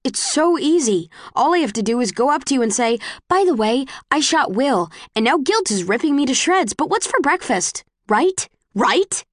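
A woman narrator plays a defiant, guilt-ridden young woman in an audiobook. She is sarcastic and confrontational, her pitch pushed up high, with emphatic stresses in a dry recording. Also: very animated, moderate pace, few pauses, no echo. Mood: bitter sarcasm.

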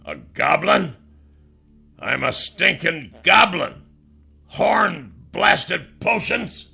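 A man voicing a nasty, scruffy little goblin in a fantasy audiobook, with a pinched, gravelly, snarling voice. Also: sits high, animated, takes it slowly, sharp tone, faint music behind, pitched above the performer's own voice, exaggerated voice. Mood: disgusted, angry. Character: nasty, scruffy little male goblins, wizard and companions in disguise.

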